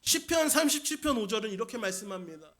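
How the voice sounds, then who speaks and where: A man's voice: high-pitched, animated, quick pace, a bit bright. A man preaching a Sunday sermon as senior pastor, putting questions to the congregation and repeating key points for emphasis. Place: church service.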